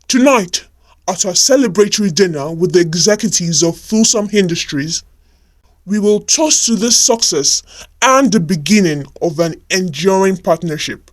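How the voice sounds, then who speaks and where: A woman voicing a confident, authoritative male company boss in a storybook tale, speaking formally and with measured praise. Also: lowish voice, animated, pitched below the performer's own voice, natural voice. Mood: confident, celebratory.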